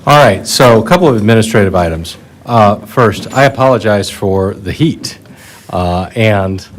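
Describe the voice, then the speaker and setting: A man chairing a village board meeting, speaking in a relaxed, plain way and reading procedural rules aloud. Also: on the low side.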